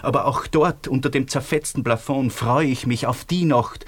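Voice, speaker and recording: A male actor plays a world-weary Austrian man in a radio drama. His mid-pitched voice is wry and tender, that of a tired lover in a ruined postwar world. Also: moderate pace, lightly acted. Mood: weary, pleading. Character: weary, wry man in love after the war. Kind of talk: radio play acting.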